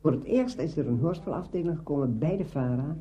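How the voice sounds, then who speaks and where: A man's voice: on the high side, expressive, fairly quick, warm tone. A man in his eighties with a Netherlands Dutch accent, a veteran radio actor telling juicy, theatrical anecdotes in conversation. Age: 80s. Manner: juicy, theatrical storytelling with acted-out dialogue. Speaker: veteran radio drama actor being interviewed.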